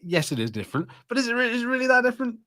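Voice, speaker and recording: A man's voice: very high-pitched, sing-song, very fast, sharp tone. A man co-hosting a casual podcast, bantering and arguing in an opinionated way with some swearing. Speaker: podcast host.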